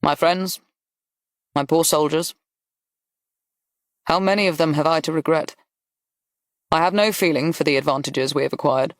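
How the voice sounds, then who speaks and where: A narrator voicing a brisk, blunt aristocratic general in an audiobook, with clipped, emphatic phrasing. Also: medium pitch, no echo, natural voice. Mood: heartbroken, grave. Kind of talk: audiobook character dialogue.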